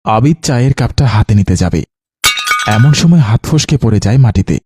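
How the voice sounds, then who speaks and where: A man's voice: mid-range voice, expressive, brisk, background noise. A man narrating a scripted cartoon story.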